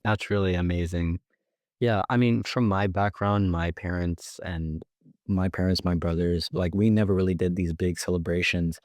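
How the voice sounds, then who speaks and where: A man's voice: low voice, normal speed, dry recording, studio quality. A teenage boy co-hosting a student podcast, chatting casually with plenty of fillers like "like" and "you know". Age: teens. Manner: relaxed, with frequent fillers. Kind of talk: casual conversational podcast chat.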